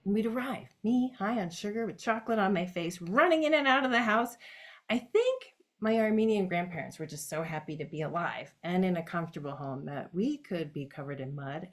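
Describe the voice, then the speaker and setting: Woman in a reflective stage monologue. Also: on the low side. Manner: reflective, addressing her late grandfather directly. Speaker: solo stage performer. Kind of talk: autobiographical monologue performance.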